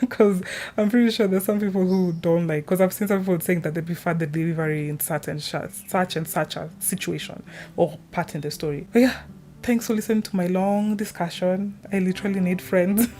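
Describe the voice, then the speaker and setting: Low-voiced young woman chatting over music. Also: darkish tone. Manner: informal, chatty, trailing tangents. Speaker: gaming video creator. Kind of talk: casual rambling opinion chat.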